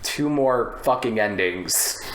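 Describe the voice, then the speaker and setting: A man telling behind-the-scenes stories in a casual podcast chat, sweary and animated. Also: lowish voice, slow. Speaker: podcast panelist. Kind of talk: casual storytelling in a film discussion. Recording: echoey room.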